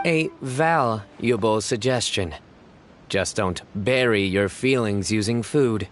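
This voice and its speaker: Low, deadpan, composed young man. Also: soft background music. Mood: dryly earnest. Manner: deadpan, measured, formal. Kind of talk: video game voice acting.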